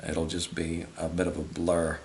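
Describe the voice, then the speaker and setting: A man's voice: low voice, normal speed. A man in his fifties giving an informal, matter-of-fact technical explanation in a small room, speaking off the cuff to a camera a few feet away. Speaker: host explaining audio equipment. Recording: steady background hiss.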